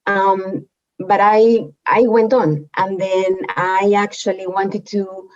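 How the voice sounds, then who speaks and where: Female guest speaker, native Spanish with Spanish accent, mid-pitched voice, reflective personal storytelling, halting phrasing, slightly muffled lo-fi audio. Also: steady tone. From Spain. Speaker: guest speaker, medical anthropologist. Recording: dull, lo-fi audio.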